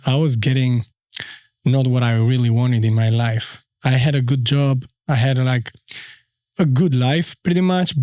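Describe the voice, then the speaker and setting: A man in his thirties from Albania with an Albanian accent, a podcast guest telling his story in a halting, easygoing way with many fillers. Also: medium pitch, darkish tone, no echo, studio quality. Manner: unhurried, halting, frequent fillers. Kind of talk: casual storytelling interview.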